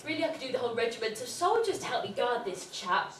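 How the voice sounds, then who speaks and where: A woman's voice: fairly high pitch, sing-song, fast. A woman playing a hapless, cheeky, grumbling trainee fairy in a stage pantomime, naive and muddled. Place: amateur theatre stage in a hall. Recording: echoey hall, distant microphone, noise.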